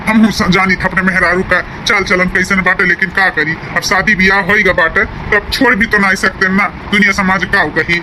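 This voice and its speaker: A man's voice: high-pitched, fairly even intonation, a little fast, hardly any pauses, background noise, natural voice. A man voicing a wheedling, placating village husband in a cartoon family comedy, with an Awadhi accent. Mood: apologetic. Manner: rustic, placating, explanatory. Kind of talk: rural cartoon comedy dialogue.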